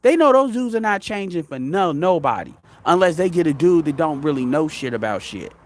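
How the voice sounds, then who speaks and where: A man's voice: fairly high pitch, very animated, fairly quick, dry recording. A man in his thirties giving blunt, profane opinion commentary as a show host, rambling with frequent restarts. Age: 30s.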